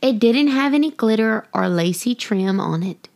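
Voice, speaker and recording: A woman's voice: fairly high pitch, expressive, moderate pace, gravelly, darkish tone. A woman hosting and reading a children's picture book, speaking expressively and directly to young listeners. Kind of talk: animated children's storytelling.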